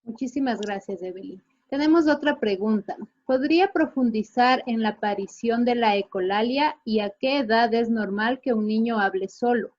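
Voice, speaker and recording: Woman host, reads questions, higher voice, dull lo-fi sound. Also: moderate pace, hardly any pauses, a little mellow. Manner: brief, thanks guest then reads questions. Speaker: host reading viewer questions.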